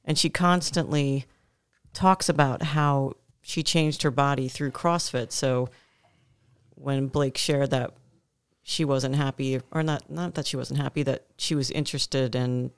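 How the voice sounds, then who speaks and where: Woman, gym staff, gravelly voice, smooth and clear, chatty storyteller. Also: rumbling low, steady tone, unhurried, dry recording. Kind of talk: casual podcast chat.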